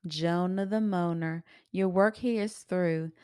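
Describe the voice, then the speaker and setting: A woman reading a children's story aloud in an expressive, sing-song rhyming delivery. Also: fairly low pitch, slow, clear, resonant tone, no room sound, clean studio sound.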